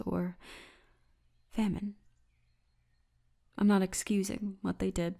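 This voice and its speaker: A woman voicing a friendly female orc tour guide in an intimate ASMR roleplay, speaking softly and often breathily. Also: mid-range voice. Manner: soft, intimate, unhurried storytelling, often breathy. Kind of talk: ASMR roleplay, addressed to the listener.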